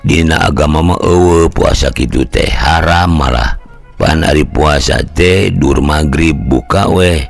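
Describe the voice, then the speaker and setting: A man with a Sundanese accent voicing a gruff, opinionated older village elder who explains things in an audio folk story. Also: extremely low pitch, a little slow, faint music behind, voice pushed down, full character voice. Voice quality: gravelly.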